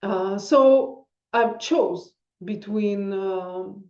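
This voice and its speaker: A woman in her forties speaks in English, telling a long personal story in a loose, rambling way and stopping now and then to hunt for a word. Her voice is soft and mellow. The sound is roomy and a little dull. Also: medium pitch, drawn-out words. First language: Russian. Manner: rambling, searching for words, non-native grammar. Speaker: vlogger.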